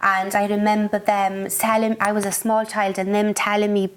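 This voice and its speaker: A woman's voice: medium pitch, level tone, normal speed, slight background noise. A woman from Wales, a spoken word poet talking through her poem in an earnest, thoughtful way. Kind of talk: reflective chat about her poem.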